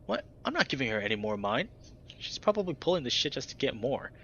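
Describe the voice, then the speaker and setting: A man voicing a foul-mouthed young bat, guarded and hostile, with a higher, clipped, cussing delivery. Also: very animated, quick pace, fairly bright tone, highs cut off, slight background noise. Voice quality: higher-pitched, clipped, swearing.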